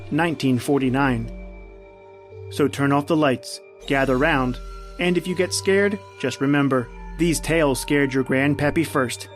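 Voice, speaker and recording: A man hosting a podcast with a scripted, theatrical introduction in horror-anthology style. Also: medium pitch. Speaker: podcast host. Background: music.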